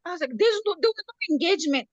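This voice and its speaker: A woman's voice: very high voice, animated, very fast, no room sound, dull audio. A woman from the Congo, hosting a talk show and chatting enthusiastically, with many hesitations. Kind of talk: casual talk-show chat.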